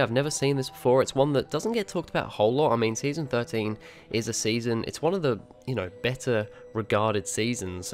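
A young man giving a chatty, rambling spoken review, his voice a little nasal as he recovers from being sick. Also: medium pitch. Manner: chatty, rambling, many ums and restarts. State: recovering from illness, slightly nasal. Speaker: video reviewer.

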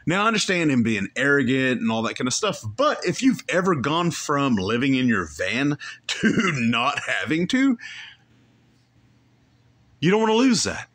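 A man's voice: mid-range voice, very animated, measured pace, a bit bright. A man hosting a podcast, giving rambling, opinionated commentary on a comedy show clip and correcting himself as he goes. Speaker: podcast host reacting to a clip. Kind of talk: casual commentary.

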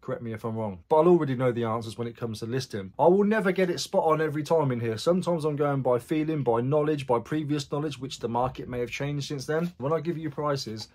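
A man's voice: mid-range voice, fast, hardly any pauses, a little mellow. A man in his forties talks through his haul in an easy, matter-of-fact vlog manner. He sounds frank and chatty, sitting in a small room with bare block walls. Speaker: reseller vlogger. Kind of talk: casual vlog chat.

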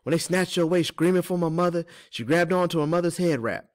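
A kindly older cook and herbalist, acted by a man, speaks in a raised pitch. She is an enslaved woman in a storybook, gentle and earnest, with a folksy way of telling things. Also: fast talker, natural voice. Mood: tender, wistful.

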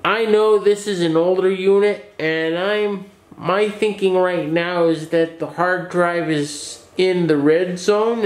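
A man with a fairly high voice talks slowly and steadily through a casual update from his computer shop. He explains each repair in plain terms, now and then restarting a sentence. His voice rings a little in an echoey small room. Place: small office workshop with desk, indoors.

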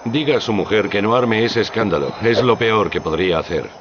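A man playing a gruff, wary middle-aged paleontologist in a game dub, stern and curt. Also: fairly low pitch, fairly quick, background noise, natural voice. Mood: urgent, stern. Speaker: voice actor. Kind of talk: Spanish game dub of film dialogue. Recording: dull game audio, loud music behind.

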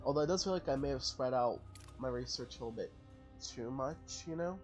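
A man's voice: medium pitch, expressive, brisk. Guy rambling through his strategy game, mid voice, talks it out and second-guesses himself, faint music under it. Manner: rambling, thinks aloud, self-correcting. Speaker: gaming channel host. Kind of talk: casual let's-play commentary.

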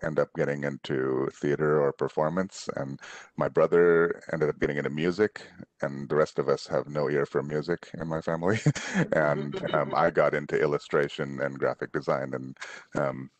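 A middle-aged man from Indonesia, an author on a video call, answering children's questions in a relaxed, rambling way with many restarts. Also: extremely low pitch, moderate pace, dry recording. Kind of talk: casual conversational answers. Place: room at home, on a video call. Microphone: headset.

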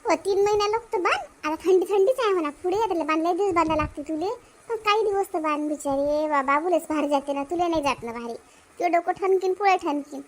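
A woman's voice: very high-pitched, fast talker. A woman voicing a doting, bossy village grandmother in a family cartoon, with a Varhadi Marathi accent and a cooing, fussing manner.

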